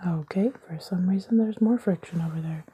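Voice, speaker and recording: A woman whispering throughout an ASMR video indoors at a table, making sarcastic, self-mocking jokes in a soft unvoiced whisper, recorded close up on a camera mic. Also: lowish voice, a little fast, a little hiss or hum.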